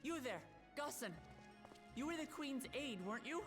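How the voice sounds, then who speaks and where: A woman's voice: sits high, animated, normal speed, music in the background. A woman playing a solemn, resolute warrior in a video game, grave, blunt and direct in manner. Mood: bewildered, demanding. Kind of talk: scripted video game voice acting.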